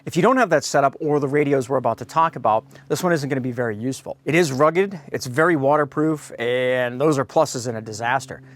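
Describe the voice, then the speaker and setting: A man's voice: medium pitch, a little fast, hardly any pauses. An American man hosting a product review, speaking to viewers in a blunt, opinionated way. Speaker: host of a radio review.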